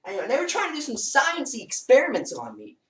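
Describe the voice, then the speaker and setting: A man's voice: extremely high pitch, wildly swooping pitch, fairly quick. A teenage boy vlogger rambling through a comedic parody story and acting out scenes while he moves around a small basement room. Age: teens. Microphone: built-in webcam mic across the room. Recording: roomy and distant.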